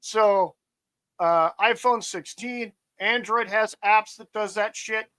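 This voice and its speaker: A man's voice: sits high, slow pace, fairly bright tone. A man hosting a casual political chat, ranting and swearing emphatically. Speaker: podcast host.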